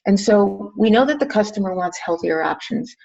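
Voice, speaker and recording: A woman chief executive giving a polished business pitch on a recorded call, leaning on key phrases for emphasis. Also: animated, normal speed, gravelly, a little mellow. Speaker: restaurant company chief executive. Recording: dull band-limited conference call audio.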